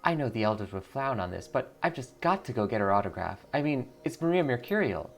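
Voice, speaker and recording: A man's voice: mid-range voice, very animated, fairly quick, vocal fry. A man voicing a perky young female cult receptionist, earnest and eager, in video game dialogue. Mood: starstruck, excited. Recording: music behind the voice.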